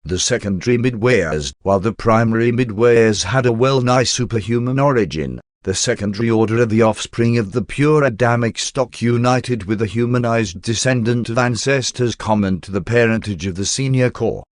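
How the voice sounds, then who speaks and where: A man reading scripted audiobook narration in a measured, formal manner. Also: mid-range voice, no echo, clean studio sound.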